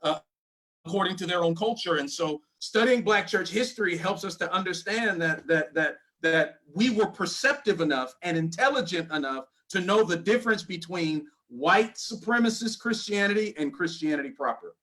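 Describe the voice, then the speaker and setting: A man speaks as a panelist, explaining his point in a measured, steady way. His voice sits somewhat high for a man. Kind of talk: panel discussion.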